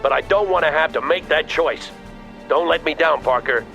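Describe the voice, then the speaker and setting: An actor playing a stern, resolute army colonel in a military video game, speaking in clipped, authoritative orders. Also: male voice, on the high side, normal speed, creaky, fairly bright tone, soft background music. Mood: grave, resolute. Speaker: military commander.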